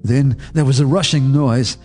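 List: man, first-person narrator, grave, measured, faint score behind. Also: mid-range voice, fairly even intonation, a little mellow. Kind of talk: radio drama narration. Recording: radio drama with faint music underneath.

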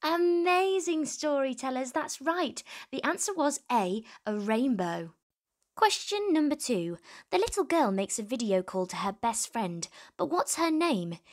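High-voiced young woman reading for children. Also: very animated, measured pace. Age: 20s. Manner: encouraging, addressing children directly. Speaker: children's storytime host and reader. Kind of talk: children's storybook read-aloud and presenting to young viewers.

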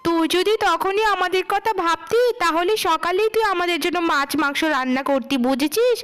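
A woman voicing a jealous, quarrelsome young eldest sister in a cartoon story, sharp-tongued and complaining. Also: extremely high pitch, a little fast, voice pushed up. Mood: spiteful, mocking.

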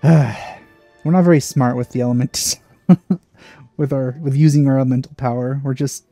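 A man's voice: mid-range voice, fairly even intonation, measured pace, mellow, no echo. A man doing casual, wry let's play commentary on a video game. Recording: game music behind the voice. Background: game music.

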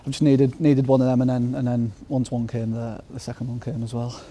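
A man's voice: medium pitch, flat delivery, brisk, mellow, a little hiss or hum. A young footballer in his twenties, giving a modest, upbeat post-match interview outdoors at the ground at night, with lots of fillers and restarts.

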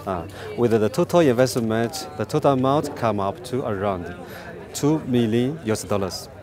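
A man in his thirties with a Chinese accent answering interview questions outdoors, haltingly and repeating phrases as he goes. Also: medium pitch, animated, crowd noise. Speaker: company representative being interviewed. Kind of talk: unscripted press interview. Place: outdoors beside a parked truck.

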